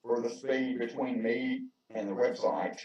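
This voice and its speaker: A man explaining web hosting in casual chat on a video call, halting and repeating words as he goes. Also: medium pitch, steady tone, darkish tone. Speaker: tech-savvy participant on a video call. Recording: echoey, distant, dull call audio.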